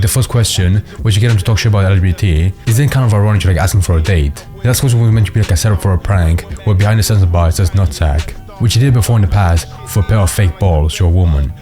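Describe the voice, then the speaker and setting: Brief: male commentary narrator, deep, rapid, casual, music underneath. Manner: casual, filler "like" throughout. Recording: voice-over with music behind.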